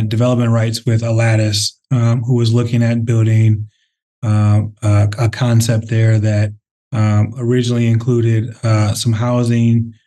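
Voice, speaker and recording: Man, company head, interview guest, warm mellow tone, steady even delivery, thoughtful with fillers. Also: on the low side. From Minnesota, Twin Cities. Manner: thoughtful, many fillers and restarts. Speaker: real estate CEO, interview guest. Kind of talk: conversational interview answers.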